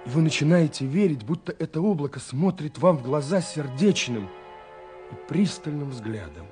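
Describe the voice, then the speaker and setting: A man's voice: on the high side, lively intonation, normal speed, lightly acted. An actor playing an ardent, poetic young man in love, reading letters with rapt tenderness in a radio play. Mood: rapt, dreamy. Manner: rapt, swelling then dropping to hushed tenderness. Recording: 1970s radio production with music. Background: music.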